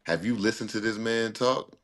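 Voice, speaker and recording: A man chatting casually as a guest, laid-back, telling stories in a drawn-out way. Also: on the low side, a bit bright. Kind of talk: casual chat.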